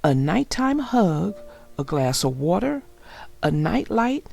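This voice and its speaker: Slow, animated woman reading a children's story. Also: lowish voice, gravelly. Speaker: children's story narrator and channel host. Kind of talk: read-aloud storybook narration.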